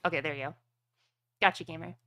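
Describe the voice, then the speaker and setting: A woman's voice: deep voice, steady tone, fast, crowd noise. A woman acting as game master for a streamed tabletop roleplaying game, talking casually with gamer slang.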